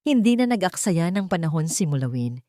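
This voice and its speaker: A woman narrating a scripted audio drama in a steady, dramatic storytelling manner. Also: fairly low pitch, fairly quick, no echo, studio quality.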